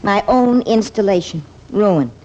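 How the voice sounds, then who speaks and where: An actress playing a plain-spoken, exasperated but warm-hearted American small-town woman in an old-time radio comedy. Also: medium pitch, expressive, measured pace, natural voice. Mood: dismayed, resigned. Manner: plain-spoken, folksy, dramatic. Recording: dull vintage radio recording.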